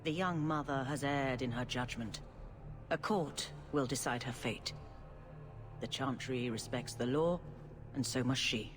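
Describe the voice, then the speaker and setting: An actor playing a calm elderly church leader who speaks in a measured, gently reproving way. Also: male voice, medium pitch, music in the background, close to an everyday voice. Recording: long reverb, faint ambience.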